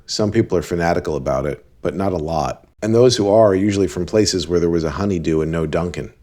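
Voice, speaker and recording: A man playing a blunt, deadpan cab driver who gives relationship advice in an animated series. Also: deep voice, close to an everyday voice.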